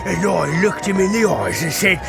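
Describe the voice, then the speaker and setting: High, gravelly, swaggering mercenary villain. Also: male voice, lively intonation, normal speed, loud music behind the voice. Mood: boastful, sly. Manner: theatrical, swaggering. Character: menacing boastful brotherhood sergeant and mercenary, male villain. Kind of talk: audio fantasy drama acting.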